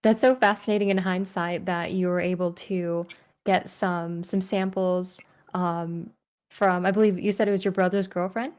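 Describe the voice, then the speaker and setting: A woman's voice: lowish voice, fairly even intonation, moderate pace, a little mellow. Woman co-hosting a casual podcast. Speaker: podcast co-host. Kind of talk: casual chat.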